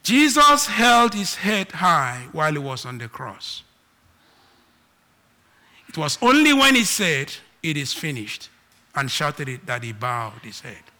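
A man from Nigeria with a Nigerian accent, preaching a sermon and moving between loud emphatic declarations and quiet asides. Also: on the high side, big pitch swings, slow.

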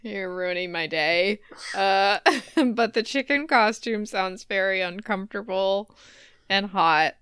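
A woman's voice: mid-range voice, takes it slowly, fairly bright tone, clear, resonant tone, no room sound, studio quality. Giggly woman chatting at an easy pace. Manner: giggly, playful. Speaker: podcast co-host. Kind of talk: casual banter.

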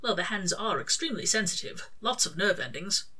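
Genteel male angel performed by a woman reader, earnest, hesitant, courteous, bright timbre, storybook dialogue. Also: mid-range voice, big pitch swings, a little fast, slightly rough, full character voice. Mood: delighted. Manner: polite, old-fashioned, hesitant phrasing.

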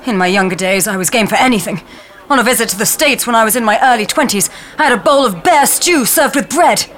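A woman playing a quiet, deadpan husband with plain tastes in a comedy sketch. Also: fairly high pitch, fast, natural voice.

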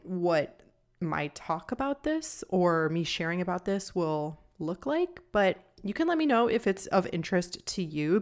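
Calm, low-voiced woman podcaster. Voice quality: calm, somewhat monotone, deeper voice. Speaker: podcast host and nutrition coach. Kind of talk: casual solo podcast talk.

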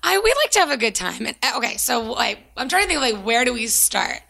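A woman tells stories on herself with playful, self-mocking energy. Her voice is fairly high and bright, with a gravelly fry running through it. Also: very animated, quick pace. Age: 30s. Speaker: podcast co-host. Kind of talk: casual chat.

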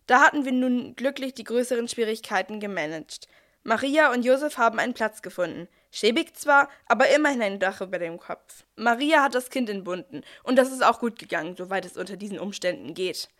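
A woman playing a brisk, managing archangel who narrates a nativity audio play. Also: a little high, expressive, a bit bright. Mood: pragmatic, pondering.

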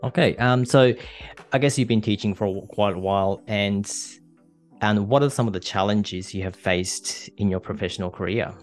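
A man's voice: lowish voice, moderate pace, faint music behind. A man hosting a podcast interview, asking questions in a hesitant way, with frequent ums and I-guesses. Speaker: podcast host.

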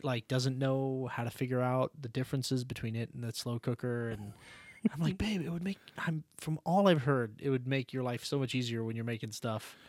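A man's voice: mid-range voice, moderate pace, darkish tone, no room sound. 30s guy co-hosting, jokey and teasing, mid voice, dry close mic. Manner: joking, teasing, laughs often. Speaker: podcast co-host. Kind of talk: casual chat.

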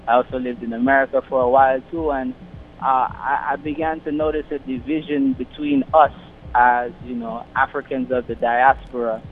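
A man's voice: medium pitch, steady tone, moderate pace, slight background noise. Man talking over a tinny phone line. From London and the Caribbean. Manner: rambling, many restarts and fillers. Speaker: radio guest, community organization founder. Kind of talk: casual interview over the phone.

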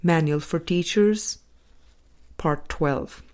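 Female audiobook reader for spiritual text: lowish, soft-toned, measured delivery with deliberate pauses. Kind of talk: measured reading of a spiritual book.

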